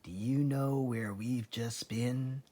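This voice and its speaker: A man's voice: medium pitch, steady tone, slow, mellow. A man voicing a sly, confident father fox in a storybook, fond and boastful, with an assured, coaxing, theatrical manner. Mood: conspiratorial.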